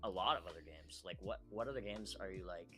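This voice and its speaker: A man's voice: deep voice, fast. A man co-hosting a gaming podcast, giving opinions in casual chat and restating his points as he goes. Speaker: podcast co-host discussing video games. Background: background music.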